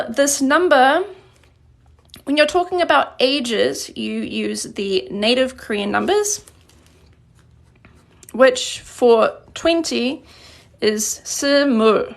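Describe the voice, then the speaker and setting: A woman's voice: high voice, vocal fry. Encouraging woman teaching a live grammar lesson. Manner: patient, encouraging, answers viewer questions. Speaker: Korean language teacher. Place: indoors at a desk. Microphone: phone camera above a desk.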